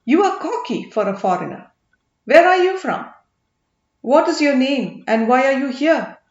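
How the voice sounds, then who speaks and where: A sneering, needling commander who mocks and probes, a middle-aged schemer , as a woman reads him. The voice sits a little high and carries a touch of room echo on a slightly muffled recording. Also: big pitch swings, a little slow, full character voice. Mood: mocking. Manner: derisive, needling.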